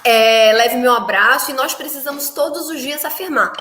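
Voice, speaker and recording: A woman's voice: high voice, normal speed, fairly bright tone, echoey room, a little hiss or hum. A woman from Salvador with a Bahian accent, a city councillor joining a political stream, speaking emphatically and in a rush. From Brazil, Salvador. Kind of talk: political live-stream discussion.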